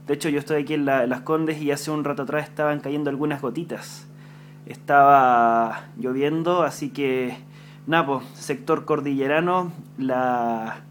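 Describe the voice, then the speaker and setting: Chilean ham radio operator, man, higher voice, friendly chat, faint hum behind. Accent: Chilean Spanish. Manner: friendly, chatty, uses call signs. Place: home room at a radio desk.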